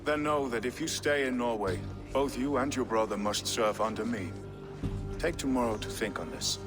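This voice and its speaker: An actor playing a commanding, measured Norse king in a video game, speaking formally and deliberately. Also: medium pitch, lightly acted. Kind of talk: dramatic scripted game acting. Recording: echoey hall with music underneath.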